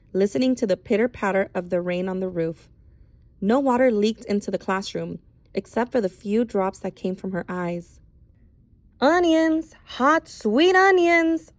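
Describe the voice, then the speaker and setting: A woman reading a novel aloud as an expressive storyteller. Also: medium pitch, no room sound.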